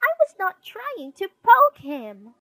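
A woman's voice: very high voice, sing-song, slow, sharp tone, no room sound, pitched above the performer's own voice, full character voice. A woman voicing an indignant young girl bear cub in a storybook, whiny and protesting.

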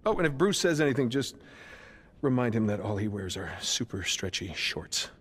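Breezy, highly animated cocky hero inventor. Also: male voice, moderate pace, slightly rough, in a large hall. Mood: encouraging. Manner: breezy, teasing. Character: cocky, playful male inventor superhero, encouraging mentor. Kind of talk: video game cutscene acting.

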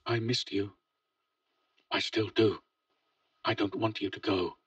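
Brief: male reader as a shaken grown son, husky, quiet, heard through a distant lo-fi mic. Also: lowish voice, fairly even intonation, slow pace, no echo, pitched above the performer's own voice. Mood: tender.

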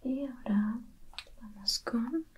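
A woman speaking in a breathy whisper, gently soothing the listener as a spa beautician in an ASMR roleplay. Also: fairly high pitch, slow pace, noisy background. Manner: gentle, soothing, intimate, reassuring. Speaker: ASMR video creator playing a spa beautician.

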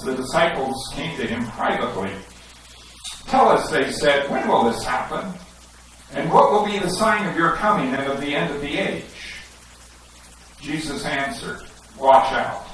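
A middle-aged man gives an animated sermon, reading scripture and pressing his listeners with emphatic questions. He wears a headset mic, yet his voice comes across from a distance. A strongly echoing church sanctuary adds long reflections to every phrase. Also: mid-range voice, a little hiss or hum. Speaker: pastor. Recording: echoey sanctuary, recorded from a distance.

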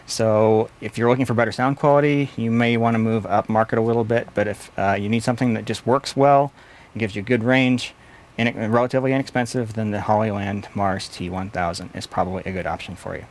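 A man's voice: lowish voice, fairly even intonation, brisk. A man in his forties giving an explanatory product review at a desk in a small studio office. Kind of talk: explanatory gear review to camera.

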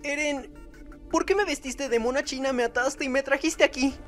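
A small, whiny anime boy, played by a woman with a very high voice. He pleads dramatically and sounds full of himself, over background music. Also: moderate pace, close to an everyday voice. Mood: panicked. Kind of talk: comedic anime parody dub.

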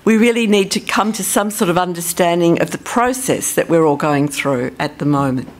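Australian woman, low voice, conference speaker, relaxed and chatty. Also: normal speed. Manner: relaxed, self-deprecating, thinking aloud with fillers. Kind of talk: conference presentation.

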